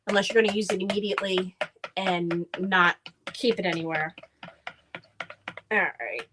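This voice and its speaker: A woman's voice: fairly low pitch, normal speed, noisy background. A woman chatting casually on a live stream while making herbal oil products, rambling with frequent ums, congested from a cold. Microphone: streaming camera mic.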